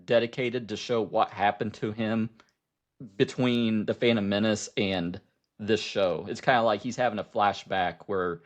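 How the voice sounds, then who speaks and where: A man co-hosting a podcast, chatting casually about film and TV in a halting, rambling way. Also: lowish voice, steady tone. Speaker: podcast co-host.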